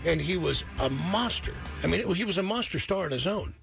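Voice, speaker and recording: A man with a fairly high, gravelly voice hosts a radio morning show. He talks casually and emphatically, with plenty of slang and repeated words, over a music bed. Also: animated, fairly quick, fairly bright tone. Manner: informal, slangy, emphatic and repetitive. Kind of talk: casual, animated radio chat.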